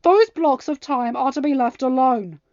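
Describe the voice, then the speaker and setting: A woman voicing an angry, protective young king with a forceful, growling, emphatic delivery in an audio story. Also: sits high, moderate pace, dry recording, lo-fi sound, close to an everyday voice. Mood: indignant. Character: angry protective teenage king, stern boyfriend.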